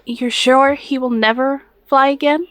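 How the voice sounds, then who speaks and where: A woman's voice: high voice, steady tone, unhurried, a bit bright, dry recording, faint music behind. An actress playing a worried but resolute woman in an audio drama, earnest and insistent. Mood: anxious resolve. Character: worried, resolute female partner of a patient.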